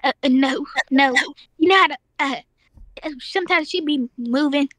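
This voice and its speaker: Achy, slangy old granny for game roleplay, played by a woman, very high voice, close and dry. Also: moderate pace, a bit bright, no room sound. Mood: hesitant. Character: eccentric old grandmother with aches and a creepy doll.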